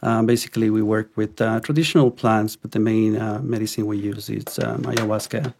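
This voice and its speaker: Low gravelly man from Ecuador. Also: a little fast. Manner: relaxed, hesitant with many ums and restarts. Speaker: podcast guest. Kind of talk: casual interview conversation.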